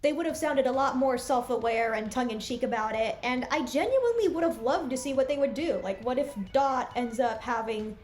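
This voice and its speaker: A woman's voice: high-pitched, animated, brisk, hardly any pauses, darkish tone, slight background noise. A woman giving candid, critical review commentary in a conversational, opinionated way. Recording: music bed behind the voice. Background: background music.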